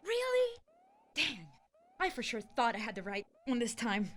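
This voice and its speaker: A woman's voice: a little high, huge pitch swings, a little slow, a bit bright, full character voice. A woman playing an eager, bungling Chief of Staff in a comedic radio play, flustered yet overconfident. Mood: sheepish, flustered.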